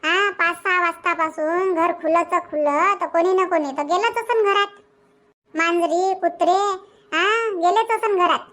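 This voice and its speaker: A performer voicing an excitable, complaining young village wife and mother in a Marathi cartoon comedy, with a childlike voice and a Varhadi accent from Vidarbha. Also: extremely high pitch, unhurried, full character voice. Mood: indignant, nagging. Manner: nagging, grumbling, exclamatory.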